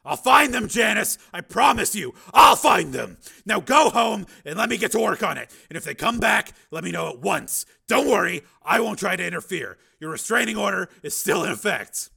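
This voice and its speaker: Man doing a stern machine-man, high, strained and shouting, gravelly edge. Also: very animated, moderate pace, hardly any pauses, exaggerated voice. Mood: firm. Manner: forceful, shouting, insistent. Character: hard-edged adult man turned machine, stern. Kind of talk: dramatized audiobook reading.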